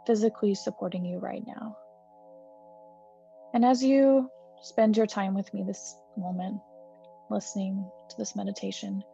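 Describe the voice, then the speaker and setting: A woman meditation teacher guiding a healing breath meditation in a soft, slow, soothing way with gentle pauses. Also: mid-range voice, creaky. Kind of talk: guided meditation. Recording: slightly dull recording with soft music.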